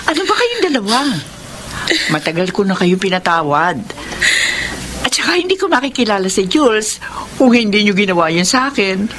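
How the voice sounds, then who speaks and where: A woman's voice: mid-range voice, very animated, normal speed, a bit bright, a little hiss or hum. An actress playing a passionate, forgiving woman in love in an emotional Filipino radio drama. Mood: calm, tender. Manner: emotional, melodramatic.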